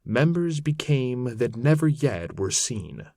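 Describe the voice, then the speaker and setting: A man narrating an old epic poem aloud in a solemn, measured, theatrical manner with archaic phrasing. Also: medium pitch, very animated, dark tone, dry recording, studio quality. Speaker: narrator reading poetry aloud. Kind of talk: dramatic recitation of an epic poem in archaic English.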